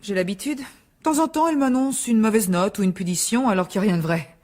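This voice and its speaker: A woman's voice: mid-range voice, fast talker, a little mellow, close to an everyday voice. An actress playing a defensive, indignant mother in a French radio drama, incredulous and dismissive in her retorts.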